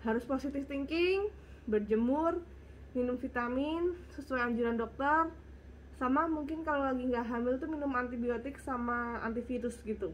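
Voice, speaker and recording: A woman's voice: high voice, normal speed, a little hiss or hum, rough recording. A young woman vlogger with a congested, slightly nasal voice, chatting casually through viewer questions and a little short of breath. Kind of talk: casual Q and A chat.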